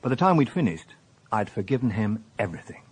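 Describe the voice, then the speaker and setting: An actor playing a methodical, courteous police inspector in a radio drama, measured and polite as he narrates and questions. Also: lowish voice, very animated, slightly rough. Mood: reflective. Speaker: narrator and lead detective.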